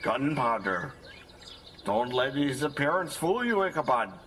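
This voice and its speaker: An actor playing a gossipy, wry rustic farmer in an audio drama, with a theatrical storytelling delivery. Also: male voice, medium pitch, big pitch swings, unhurried, fairly bright tone. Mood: sly, reassuring. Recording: faint background noise.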